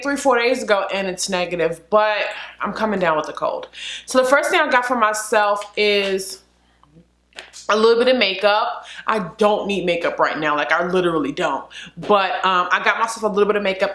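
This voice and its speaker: A woman's voice: medium pitch, a bit bright. A woman vlogger chatting casually to camera, a little sniffly from a cold, full of "like" and "literally". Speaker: beauty vlogger. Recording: echoey room.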